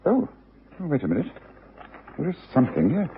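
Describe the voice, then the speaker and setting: An actor playing a bored, brilliant, self-assured London detective in a radio drama, with a British accent and a husky voice. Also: male voice, medium pitch, huge pitch swings, fairly quick, a little hiss or hum. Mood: musing, intent. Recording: thin, narrow-band old radio recording.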